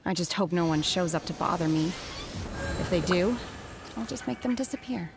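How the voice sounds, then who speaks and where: An aloof game heroine with a slightly low voice. She is formal, measured and quietly menacing. Loud noise surrounds her. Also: animated, creaky. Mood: calm menace. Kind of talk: video game character acting.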